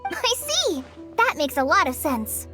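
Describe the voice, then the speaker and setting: Earnest, high-voiced teenage girl. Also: very animated, measured pace, sharp tone, faint music behind, full character voice. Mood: earnest, thoughtful. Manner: polite, tentative. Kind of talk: video game character acting.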